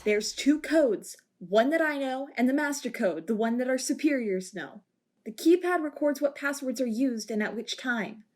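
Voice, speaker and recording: A woman's voice: sits high, animated, moderate pace, a little mellow. A woman playing a cocky, teasing female security chief aboard a ship, in an amateur audio drama. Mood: defensive. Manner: brash, teasing, bossy.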